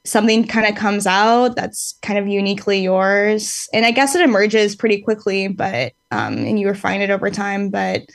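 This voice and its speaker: A woman's voice: medium pitch, moderate pace, vocal fry, few pauses, no room sound. A woman in her late twenties who sings in a band, talking casually in an interview. She rambles a little, thinks aloud and fills gaps with ums, and she laughs easily. Her voice sits mid-range and is recorded close and dry. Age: late 20s. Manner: rambling and reflective, with many fillers and easy laughs. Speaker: band singer, podcast guest.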